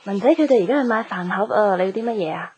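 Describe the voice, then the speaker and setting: An actress playing a brisk, practical young office colleague in a Cantonese radio drama, with a Hong Kong accent. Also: female voice, mid-range voice, lively intonation, a little mellow, slight background noise, lightly acted. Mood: brisk, helpful.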